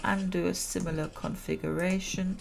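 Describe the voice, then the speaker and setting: A woman commentating a building game at a relaxed pace, chatty and self-amused, and she is losing her voice. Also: fairly low pitch, moderate pace, gravelly, a little hiss or hum, poor audio. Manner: chatty, self-amused, laughs at own jokes. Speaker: gameplay commentator. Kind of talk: relaxed let's-play commentary.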